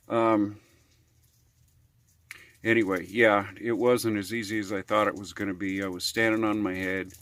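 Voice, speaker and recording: A man's voice: on the low side, fairly bright tone, no room sound. An American man vlogging in a casual, unscripted way, talking in a folksy, rambling manner with homespun slang. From United States. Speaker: vlogger on RV living and repairs. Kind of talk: casual unscripted vlog talk.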